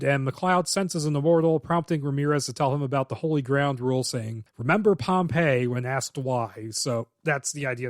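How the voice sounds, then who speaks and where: A man co-hosting a casual podcast, walking through a script's plot in detail and offering frank, opinionated verdicts. His voice sits a little high for a man. The recording is close and dry, with no room sound. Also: dry recording. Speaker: podcast co-host.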